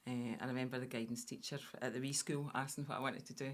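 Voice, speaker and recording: A Scottish woman from Fife, around sixty, chatting warmly about her past as a guest on a podcast, with a lot of "aye" and "uh-huh.". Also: rumbling low, fairly even intonation, quick pace. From Scotland. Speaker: guest, veteran hairdresser. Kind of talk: casual interview chat.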